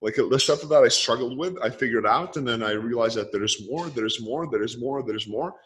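Quick-listing man. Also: mid-range voice, fairly bright tone, slight background noise, rough recording. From Lithuania. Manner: quick, lists ideas one after another. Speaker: guest, performance coach. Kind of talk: casual podcast interview.

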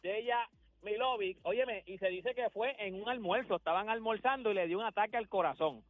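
A man's voice: high voice, a little fast, phone-quality sound. A man with a Puerto Rican accent talking sports casually on the radio, slangy and repeating phrases for emphasis. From Puerto Rico.

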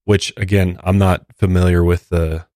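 An American man hosting a true crime podcast discussion, talking in a measured, conversational, hedging way. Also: sits low, flat delivery, mellow, no echo, clean studio sound. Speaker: podcast host.

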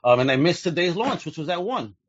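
A man's voice: a little high, sing-song, brisk, breathy edge, highs cut off. A man hosting a casual video call chat, enthusiastic, laughing often and filling his talk with "you know". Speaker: host.

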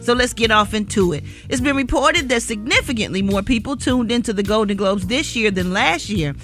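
Bright, high-voiced woman on morning radio. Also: expressive, a little fast, soft background music. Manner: informal, opinionated. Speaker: entertainment reporter on a morning radio show. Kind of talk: entertainment news read and casual chat.

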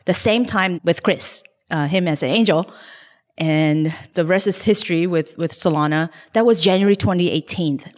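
Low-voiced woman. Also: moderate pace, darkish tone, long reverb tail. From Hong Kong. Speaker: guest investor. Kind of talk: casual podcast interview.

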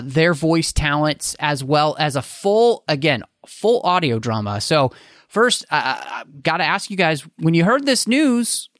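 A man with a fairly high voice hosts a casual podcast. He is enthusiastic and leans on his words for emphasis. The sound is dry, with hardly any room to it. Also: a bit bright, dry recording. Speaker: podcast host. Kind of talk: casual panel podcast talk.

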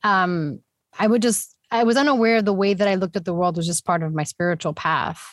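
A woman from New York and Puerto Rico, a podcast guest talking in an animated, casual way and laughing often. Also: on the low side, fairly quick, dry recording, studio quality.

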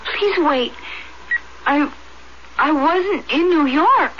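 A woman's voice: sits high, animated, drawn-out words, heavily acted. An actress playing a dazed, wistful and trusting young woman in hospital, in an old-fashioned radio drama. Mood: dazed, confused. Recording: dull, very noisy radio broadcast tape.

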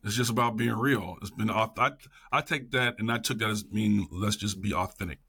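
A man's voice: fairly low pitch, brisk, fairly bright tone, a little hiss or hum. A middle-aged man talking casually and passionately as an interview guest, emphatic and repeating phrases for effect. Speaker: podcast guest, educator.

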